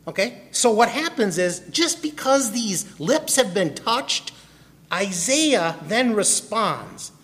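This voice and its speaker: Slow-talking preacher in an echoey hall. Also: male voice, high-pitched, sing-song, a bit bright. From Milwaukee. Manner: asks the listeners questions and calls on them by name. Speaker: pastor. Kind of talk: sermon, personal testimony. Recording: long hall reverb.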